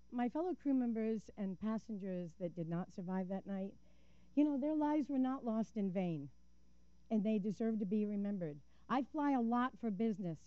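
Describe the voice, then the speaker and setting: A woman's voice: fairly high pitch, clear, resonant tone, no room sound, highs cut off. An older woman giving a prepared public comment at a council meeting, an earnest and vivid storyteller with a few fillers. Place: city council chamber. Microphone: podium microphone.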